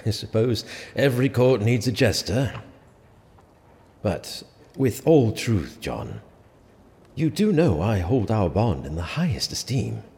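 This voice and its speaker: Confident medieval sheriff, lowish voice, lively intonation, urbane and teasing, audio drama, echoing hall. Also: male voice, slow pace, full character voice. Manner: urbane, teasing, self-assured. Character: smug, confident male sheriff, a prince's trusted friend. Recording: reverberant hall with faint music behind.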